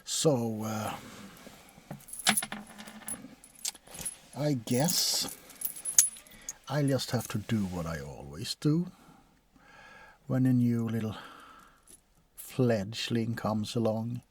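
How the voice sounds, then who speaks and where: A man playing a bored, sardonic, centuries-old vampire doctor in a soft-spoken, deadpan ASMR role-play, often slipping into a whisper. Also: mid-range voice, a little hiss or hum. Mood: weary, deadpan. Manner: deadpan, hesitant, drawn-out, often dropping to a whisper.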